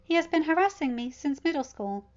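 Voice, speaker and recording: A woman voicing a polite, quietly defiant teenage boy with a deadpan manner in a story reading. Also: high voice, brisk, muffled recording, voice pushed up. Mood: neutral. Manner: neutral, deadpan.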